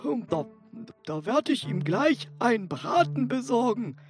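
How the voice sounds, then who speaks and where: A woman playing an excitable, good-natured wolf with expressive, drawn-out speech in an audio fairy tale. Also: a little high, over music. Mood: pleased.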